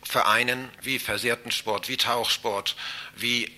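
Sharp, forceful-voiced man from a citizens' group. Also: medium pitch, fairly even intonation. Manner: halting, repeats and rephrases himself. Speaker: citizens' association chairman, interview guest. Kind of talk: spontaneous interview answers.

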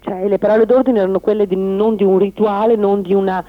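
Through a narrow, phone-like connection, a woman gives a spontaneous interview answer. Her pitch is medium and she hesitates as she searches for words. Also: steady tone, fast. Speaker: demonstrator being interviewed. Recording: tinny phone-like radio line.

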